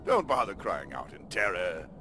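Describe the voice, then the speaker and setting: A theatrical cartoon villain for a comedy game, savouring every menacing phrase with pompous relish. His delivery swoops dramatically from low to high, with clear music playing underneath. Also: very animated, normal speed. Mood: gloating menace. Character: theatrical, gloating adult male cartoon villain. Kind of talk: animated comedy game voice acting.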